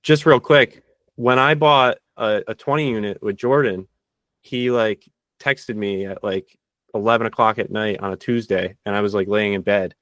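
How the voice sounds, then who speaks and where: A male podcast host with a fairly low voice, recorded close and dry. He asks quick, energetic questions and often restarts a phrase mid-sentence. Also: dry recording. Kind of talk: casual interview.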